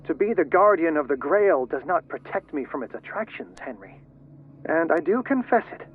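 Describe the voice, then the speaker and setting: An actor playing a solemn scholar obsessed with occult secrets, reading letters formally through a radio-like filter in a video game. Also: male voice, on the high side, big pitch swings, moderate pace, husky. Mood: solemn, confessional. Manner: formal, literary. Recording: tinny, narrow radio-like sound.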